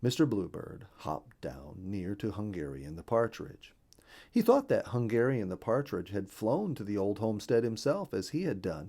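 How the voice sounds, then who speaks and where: Mellow, expressive male children's storyteller. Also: on the low side, creaky.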